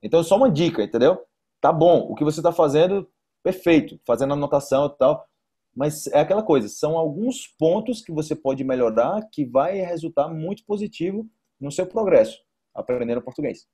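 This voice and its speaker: A man's voice: on the high side, lively intonation, a little fast, dark tone, breathy edge. A young man with a Brazilian accent tutoring informally over a video call, explaining and repeating points for emphasis. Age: young adult. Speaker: Portuguese teacher. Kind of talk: informal one-to-one online tutoring. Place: indoor room, video call.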